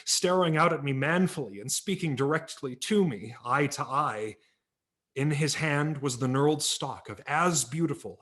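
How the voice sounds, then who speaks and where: A man narrating a humorous memoir story as a dramatic reading, wry and theatrical, letting his voice drop low at the ends of phrases. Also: fairly bright tone. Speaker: audiobook narrator. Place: home, virtual reading.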